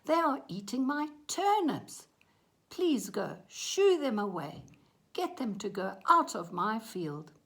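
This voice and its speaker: A woman's voice: a little high, big pitch swings, slow pace, pitched above the performer's own voice, heavily acted. A woman voicing a flustered but polite male farmer in a children's story, pleading emphatically.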